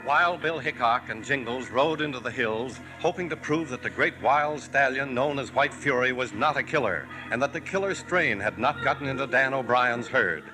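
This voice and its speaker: A man narrating a 1950s radio western in a measured storytelling manner. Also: mid-range voice, lively intonation, low-quality recording. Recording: old radio recording with music under.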